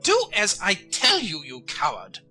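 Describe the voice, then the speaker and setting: A fussy, commanding rabbit master from a storybook. The voice is pitched high and cuts brightly, swooping dramatically as it scolds. Light music plays underneath. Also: male voice, wildly swooping pitch, a little slow, full character voice. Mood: angry, scolding. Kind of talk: dramatized audiobook character voice.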